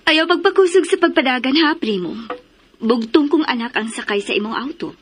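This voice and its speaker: A woman's voice: high voice, animated, brisk, a bit bright, a little hiss or hum. A woman acting a protective, middle-aged mother in a radio drama, firm and admonishing. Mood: cautioning.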